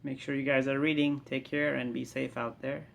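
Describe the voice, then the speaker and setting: A woman hosting a children's book channel, giving a quick, friendly sign-off to viewers. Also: a bass voice, level tone, gravelly. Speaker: channel host signing off. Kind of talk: casual video sign-off.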